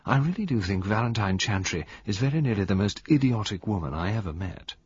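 A man voicing a sharp-tongued young woman who mutters contemptuously between her teeth, in a dull, dry recording. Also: mid-range voice, very animated, a little slow, slightly rough, no echo, heavily acted. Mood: scornful.

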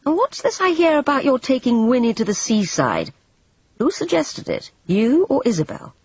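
A reader takes on a blunt, irritable painter who lectures and scolds in short, sharp lines. The voice is husky and lively in pitch, and the recording dull and dry. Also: male voice, moderate pace, dry recording, full character voice. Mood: scolding. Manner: curt, scolding.

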